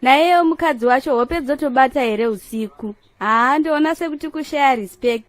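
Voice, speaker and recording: A woman's voice: high voice, moderate pace, no room sound, natural voice. A woman playing a frank, opinionated debater in a comedy cartoon, mixing Shona and English. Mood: indignant.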